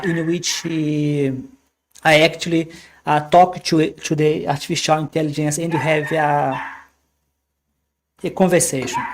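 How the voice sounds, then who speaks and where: A man whose first language is Portuguese, hosting a podcast live in a casual, halting way with many fillers and restarts. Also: fairly high pitch, vocal fry, darkish tone, a little hiss or hum. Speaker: podcast host. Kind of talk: casual live-stream talk. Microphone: computer microphone.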